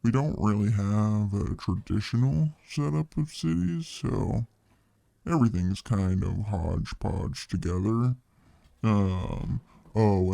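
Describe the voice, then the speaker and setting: A man's voice: on the low side, creaky, warm tone, dry recording, full character voice. A man playing a friendly, easygoing fantasy tavern bartender who chats casually and folksily to the listener in an ASMR roleplay. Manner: laid-back, hesitant, folksy, chatty.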